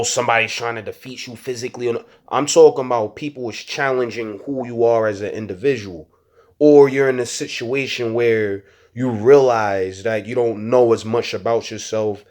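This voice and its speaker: A man's voice: mid-range voice, darkish tone. A young man talking frankly and reflectively to his viewers in street slang, stuttering and restarting his sentences often. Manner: frank street slang, frequent "you know what I'm saying". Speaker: rapper and YouTuber. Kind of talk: casual reflective monologue to viewers.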